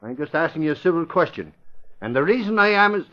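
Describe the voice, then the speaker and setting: An actor playing a pushy city newspaper reporter who keeps needling with questions, in a 1940s radio drama. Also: male voice, a little high, big pitch swings, quick pace. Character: brash, nosy male city newspaper reporter. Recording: dull lo-fi old radio broadcast.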